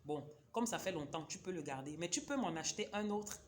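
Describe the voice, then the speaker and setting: A woman's voice: on the low side, expressive, a little fast, a little hiss or hum. Chatty woman vlogger. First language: a Cameroonian local language. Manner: chatty, digressive, talks directly to viewers. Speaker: vlogger presenting books. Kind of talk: casual book-recommendation vlog.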